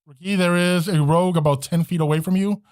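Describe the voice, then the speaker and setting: A man narrates a tabletop game as its game master. His voice sits a little high and the sound is fairly dry. He feels his way through rulings, often stopping to correct himself. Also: fairly even intonation, a little mellow, no room sound. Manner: halting, self-correcting.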